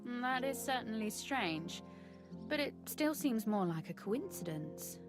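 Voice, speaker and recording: A woman's voice: fairly high pitch, sing-song, unhurried, over music. An actress playing a witty young noblewoman in a medieval video game, speaking in a teasing, composed way. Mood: sceptical. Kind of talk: video game dialogue acting.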